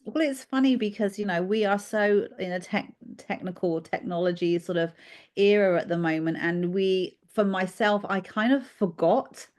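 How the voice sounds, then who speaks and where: A woman's voice: mid-range voice, normal speed. A British-born woman, a children's therapist, talking in a podcast interview and telling her story with many "um"s and a reflective manner. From United Kingdom. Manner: warm reflective storytelling with frequent fillers. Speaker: guest therapist.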